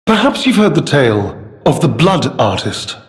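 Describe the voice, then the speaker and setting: An actor playing a suave, condescending gentleman schemer in a video game, speaking with pompous, theatrical courtesy. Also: a little high, very animated, moderate pace. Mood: coy, probing. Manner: pompous, affected, drawling and theatrical. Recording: long hall-like reverb.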